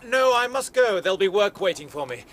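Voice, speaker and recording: A man's voice: high-pitched, sing-song, a little fast, fairly bright tone. An actor playing a solicitous, learned country doctor in a radio drama, earnest and educated in his speech. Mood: concerned. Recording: outdoor ambience, water and vehicle noise.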